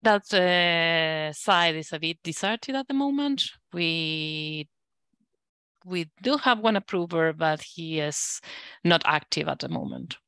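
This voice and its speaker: A woman with a low, smooth voice gives a status update in an online group meeting. She speaks at a slow, measured pace, and the sound is dry and close. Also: expressive, fairly bright tone, dry recording. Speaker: working group lead giving a status update.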